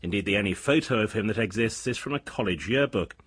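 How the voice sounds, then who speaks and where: A man playing a brisk radio arts programme presenter in a drama, polished and measured, moderating firmly. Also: lowish voice, expressive, a bit bright, breathy edge, no room sound, clean studio sound. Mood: smooth, brisk. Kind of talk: scripted radio drama as live arts broadcast.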